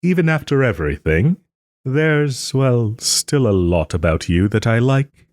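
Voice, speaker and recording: A man playing a smug but soft-hearted nobleman and former lover in an audio roleplay, suave and refined, with gentle teasing and hesitant pauses. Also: mid-range voice, very animated, no room sound, studio quality. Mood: wistful, persuasive. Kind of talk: audio roleplay, dramatic monologue to listener.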